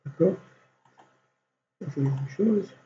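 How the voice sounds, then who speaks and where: A man with a cold teaching design software step by step, thinking aloud and filling gaps with constant voilàs. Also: a little high, takes it slowly. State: has a cold. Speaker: software instructor. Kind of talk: screen-recorded software tutorial. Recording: dull lo-fi recording with faint hum.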